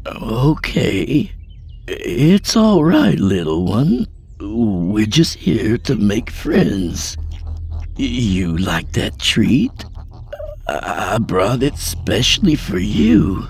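A man voicing a nervous, gentle man who coaxes a small creature in a slow, strained, higher voice. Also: sing-song, vocal fry, a little hiss or hum, exaggerated voice. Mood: nervous coaxing. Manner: soft, slow, coaxing, high strained voice.

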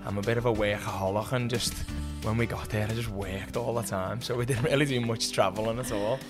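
Man in his thirties, Liverpool accent, low-ish voice, casual rambling podcast guest, faint music underneath. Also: steady tone, a little fast. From England. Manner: chatty, rambling, laughs often. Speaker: podcast guest, former student. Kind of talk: casual interview chat.

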